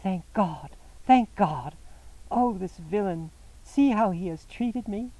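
A man's voice: sits high, animated, takes it slowly, warm tone, no room sound, pitched above the performer's own voice, poor audio. A man reading an audiobook voices an anguished young woman held captive, speaking in a pleading, impassioned way. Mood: distraught. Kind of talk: audiobook character voice.

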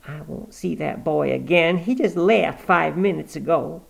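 A man reading aloud, voicing a sharp-tongued, stubborn old woman on her deathbed who scolds and commands. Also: medium pitch, unhurried, creaky, husky, pitched above the performer's own voice, exaggerated voice. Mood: scolding. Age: 80s.

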